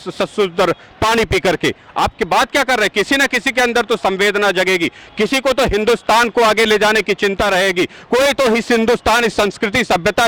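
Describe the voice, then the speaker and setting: Forceful high-voiced campaigner man. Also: low-quality recording. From Azamgarh, India. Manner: forceful, rhetorical, indignant questioning. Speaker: yajna organizer, campaigner. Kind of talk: street interview. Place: outdoors at a busy road junction.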